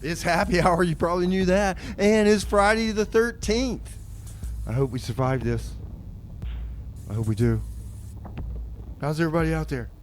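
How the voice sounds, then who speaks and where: Laid-back male radio DJ, slightly high voice. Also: lively intonation, normal speed, pauses often, a little hiss or hum, low-quality recording. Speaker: community radio DJ and host. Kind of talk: casual music show patter.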